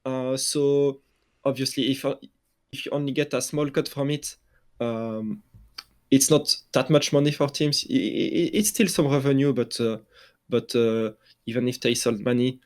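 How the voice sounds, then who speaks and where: A man with a French accent, talking through esports news in a casual podcast discussion, halting often with fillers and restarts. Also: medium pitch, fairly even intonation. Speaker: esports journalist.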